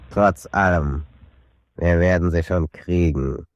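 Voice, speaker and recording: Very deep, slow U-boat commander. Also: male voice. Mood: gloating, defiant. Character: gloating German U-boat commander, defiant. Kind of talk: radio drama acting. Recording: dull, muffled radio drama sound.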